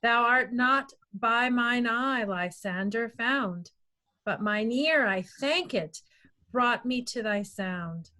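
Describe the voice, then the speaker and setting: Bewildered young sweetheart woman reader, Shakespeare table read: high-pitched, crisp-edged bright tone, unhurried verse delivery. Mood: searching, puzzled.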